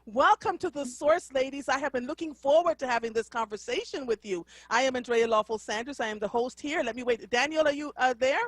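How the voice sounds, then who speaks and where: A woman's voice: sits high, fairly quick, few pauses, no echo. A middle-aged woman from Jamaica with a Jamaican accent, hosting a live radio talk show in an effusive, exclamatory way and laughing often.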